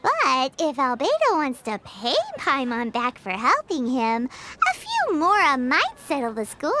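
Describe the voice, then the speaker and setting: A woman's voice: huge pitch swings, slow pace, few pauses, sharp tone, a little hiss or hum, heavily acted. A woman voicing a tiny floating fairy-like companion who is chatty and greedy and talks about herself in the third person. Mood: cheeky. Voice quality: high, squeaky, refers to herself by name.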